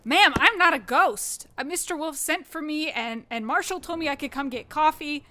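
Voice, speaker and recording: A woman's voice: sits high, normal speed, background noise, close to an everyday voice. Woman playing a wry, skeptical young reporter. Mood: flustered. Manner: stammering at first, then probing and wry. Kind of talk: audio drama table read.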